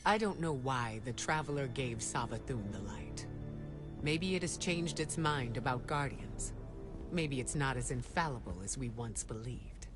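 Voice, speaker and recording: An actress playing a resolute, pragmatic protector in a game cutscene, earnest and measured. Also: female voice, extremely low pitch, lively intonation, music in the background. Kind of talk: dramatic video game cutscene dialogue.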